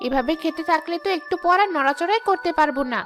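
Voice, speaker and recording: Woman voicing a very high-pitched, greedy cartoon ghost. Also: fast, over music, lightly acted. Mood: gloating. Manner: scheming, grumbling, boastful. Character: mischievous, greedy female tree ghost, sly and menacing. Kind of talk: cartoon character acting.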